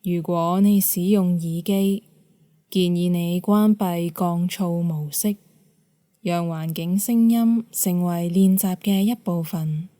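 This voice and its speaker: A woman leads a guided meditation as an instructor, calm and measured, giving gentle instructions with pauses. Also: lowish voice, dry recording, studio quality.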